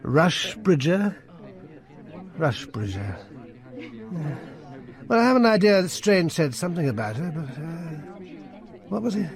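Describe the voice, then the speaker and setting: A man's voice: fairly high pitch, big pitch swings, measured pace, pauses often. An actor playing a jittery, hard-drinking upper-class English military man in a radio drama, with a blustering, stammering manner. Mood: paranoid, rambling. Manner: blustering, stammering, slurred old-fashioned slang.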